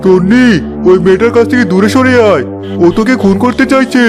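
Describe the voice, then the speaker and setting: A man playing a high-voiced protective uncle. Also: a little fast. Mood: worried. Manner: worried and protective. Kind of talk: cartoon voice acting. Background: background music.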